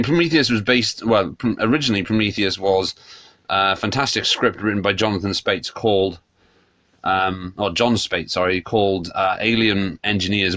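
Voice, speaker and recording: A man's voice: lowish voice, a bit bright, dry recording. A man hosting a solo podcast, congested from a cold, rambling and opinionated. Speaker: podcast host. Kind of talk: solo casual podcast chat.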